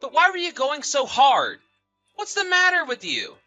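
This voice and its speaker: Man acting a bright, forceful suspicious husband. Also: very high-pitched, wildly swooping pitch, normal speed, highs cut off, soft background music, heavily acted. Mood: exasperated, accusing. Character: betrayed husband, polite then suspicious and accusing. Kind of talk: dramatized text-message story narration.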